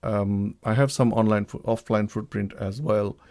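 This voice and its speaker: Halting, low-voiced man hosting a livestream. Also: steady tone, a little mellow. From Bangladesh. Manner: hesitant, many ums and restarts. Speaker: livestream host. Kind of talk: live-streamed talk introduction. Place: home setup, living in the UK.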